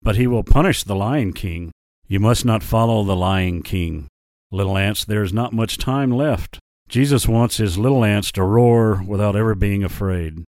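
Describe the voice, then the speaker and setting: A man voicing a big, kindly male lion in a children's story, speaking in slow, earnest warnings. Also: lowish voice, a little mellow, no room sound, studio quality, lightly acted. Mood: gentle solemn. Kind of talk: storybook character voice.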